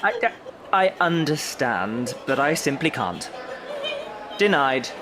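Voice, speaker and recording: An actor playing a curt, unyielding Victorian banker in an audio drama. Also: male voice, medium pitch, very animated, takes it slowly, background noise. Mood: impatient, dismissive. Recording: busy crowd murmur behind.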